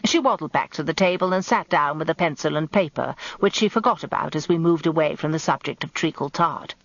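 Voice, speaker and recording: A woman's voice: deep voice, a little fast, dry recording. An actress with an English accent playing an observant, wry lawyer's wife turned amateur detective, confiding her story in a radio drama. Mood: wry, musing. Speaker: narrator. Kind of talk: first-person radio drama narration. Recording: dull lo-fi radio recording.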